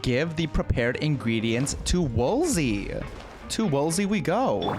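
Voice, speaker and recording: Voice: medium pitch, sing-song, takes it slowly. A game streamer giving casual commentary during a playthrough. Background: game music.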